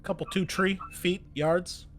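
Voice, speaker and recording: A man's voice: high-pitched, fairly even intonation, unhurried, fairly bright tone. Man running a tabletop game over music. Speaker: game master. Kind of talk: narrating a tabletop role-playing game, casual. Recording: music playing behind the voice.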